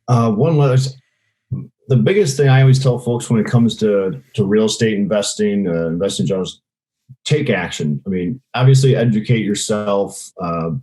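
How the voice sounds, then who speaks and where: Very low-pitched man answering interview questions. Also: normal speed, mellow, rough recording. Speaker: podcast guest, real estate investor.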